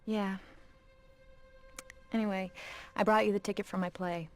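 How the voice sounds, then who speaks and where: A woman's voice: mid-range voice, steady tone, normal speed, lightly acted. A young woman voicing a guarded, wounded love interest in a video game, speaking plainly and pointedly over music. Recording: music behind the voice.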